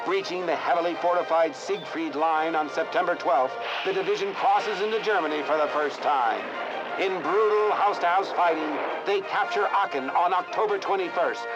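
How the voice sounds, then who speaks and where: An actor playing a proud, stirring wartime newsreel narrator in a video game, dramatic and solemn in delivery. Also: male voice, sits high, lightly acted. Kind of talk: scripted narration in 1940s wartime newsreel style. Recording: dull lo-fi sound under loud music. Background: loud music.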